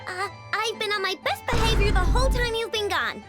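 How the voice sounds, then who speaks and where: A woman's voice: very high voice, fairly quick, over loud music. Whiny, childlike little girl inventor. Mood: flustered. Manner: hesitant, wheedling, stretches words out. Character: Aino, young girl mechanic, sweet-toothed, pleading and whiny. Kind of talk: animated video game acting.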